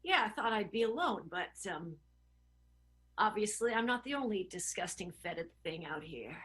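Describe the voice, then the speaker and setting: A woman playing a sullen, guilty rival lover in an improvised radio soap, halting and understated. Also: medium pitch, animated, a bit bright, natural voice. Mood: sheepish, gloomy.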